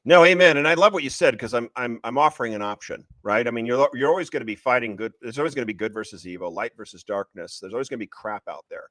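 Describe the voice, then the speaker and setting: A man speaking as an author guest in an interview, pitching his books in an emphatic, opinionated way and repeating phrases for stress. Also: fast talker, few pauses, a bit bright, no echo. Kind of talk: passionate conversational pitch of a book series.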